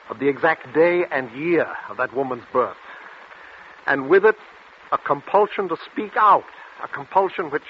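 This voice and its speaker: A man acting an anguished patient who feels cursed, in vintage radio drama. His delivery is halting and dramatic at a middling pitch, with dull sound and faint hiss of an old recording. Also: close to an everyday voice. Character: tormented male patient cursed to blurt out hidden truths. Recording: muffled, noisy 1940s radio recording.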